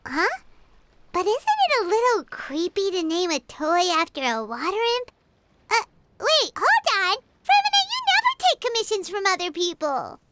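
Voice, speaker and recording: A woman voicing a tiny, excitable, chatty fairy girl with a squeaky childlike voice in a video game cutscene. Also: moderate pace, heavy background noise, exaggerated voice. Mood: puzzled, chatty. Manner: excitable, exclaiming, speaks of herself in third person.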